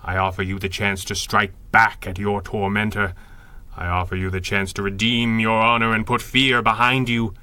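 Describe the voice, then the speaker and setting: An actor playing a wry, authoritative superhero leader in 1930s-style radio adventure, speaking in measured, dryly witty lines. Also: male voice, lowish voice, flat delivery, sharp tone, no room sound. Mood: stern urging. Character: masked male superhero leader, calm, wry, commanding mastermind.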